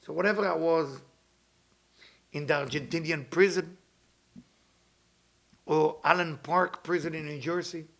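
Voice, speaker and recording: Man in his 50s, slightly high voice, earnest teacher who repeats himself. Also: vocal fry. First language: Hebrew. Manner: earnest, repeats phrases, non-native grammar. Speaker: kabbalah teacher. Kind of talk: weekly spiritual talk.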